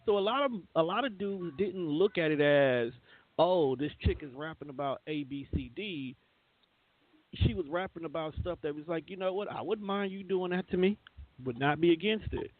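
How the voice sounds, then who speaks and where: Through a narrow, phone-like recording comes an American man with a fairly high voice. He talks casually as a show co-host, blunt and slangy, with a joking streak. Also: moderate pace. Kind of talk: casual opinionated panel chat. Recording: thin phone-like band-limited audio.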